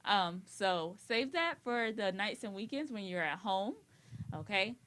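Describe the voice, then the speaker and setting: A woman co-hosting a school's afternoon announcements, chatty and lively, addressing students directly. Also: mid-range voice, a little slow, a little hiss or hum. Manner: chatty, lively, laughs easily. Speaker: school staff co-host.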